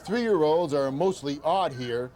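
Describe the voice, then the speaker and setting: An older man narrates a travel program in an upbeat, conversational tour-guide manner. His voice sits a little high for a man. Faint music plays beneath the voice-over. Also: lively intonation, slow, a little mellow. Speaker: travel show host.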